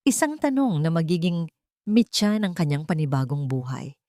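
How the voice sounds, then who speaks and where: A woman with a fairly low voice narrates a scripted drama as an audiobook. The recording is dry and close, with little room sound. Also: a little mellow, dry recording.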